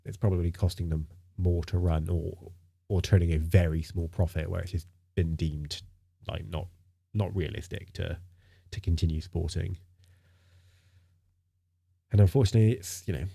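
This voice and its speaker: A man's voice: deep voice, creaky, dark tone, slightly rough. A man streaming live in casual chat, sounding nasal from a stinking cold, rambling with lots of fillers and repeated words.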